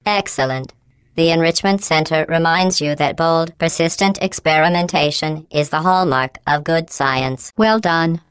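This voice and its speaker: Cold sarcastic AI overseer, deadpan, clipped, low for a woman, smooth, dry studio sound, video game. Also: no echo. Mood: deadpan announcer. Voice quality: calm, clipped, deadpan menace. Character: cold sarcastic female AI running test chambers.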